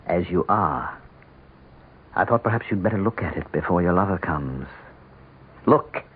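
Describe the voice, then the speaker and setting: A man's voice: low-pitched, lively intonation, a little slow, slightly rough, heavily acted. An actor playing a menacing, mocking devil disguised as a respectable man in a radio drama. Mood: silky menace. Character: sinister, calmly taunting devil in respectable man's guise. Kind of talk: radio drama villain acting. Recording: tinny, band-limited old radio sound.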